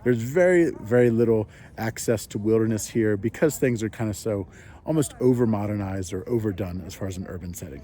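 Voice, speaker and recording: Mellow, low-voiced man, easygoing. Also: a little fast. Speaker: parent interviewee. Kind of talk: casual talk to camera. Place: apartment in a city.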